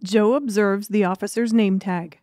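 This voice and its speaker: A woman's voice: mid-range voice, fairly even intonation, moderate pace, warm tone, no echo, clean studio sound. A woman reading scripted story narration as an audiobook narrator.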